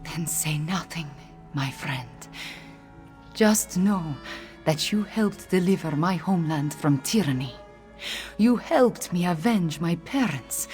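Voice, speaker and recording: An actress voicing a young catfolk queen in a video game, speaking in a measured, earnest and formal way, regal and grateful. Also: sits low, darkish tone. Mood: earnest, moved. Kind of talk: scripted video game voice acting.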